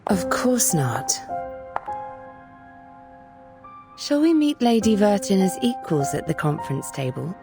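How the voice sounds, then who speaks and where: A woman's voice: mid-range voice, very animated, normal speed, vocal fry, music in the background. A woman voicing a regal, coolly menacing cult leader in a video game, with stately, archaic phrasing. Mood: coolly imperious.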